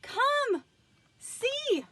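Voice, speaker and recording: A woman's voice: extremely high pitch, big pitch swings, drawn-out words, sharp tone, voice pushed up, full character voice. A woman voicing an ordinary, cautious, mildly bewildered married man in a storybook, with emphatic delivery. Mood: excited calling.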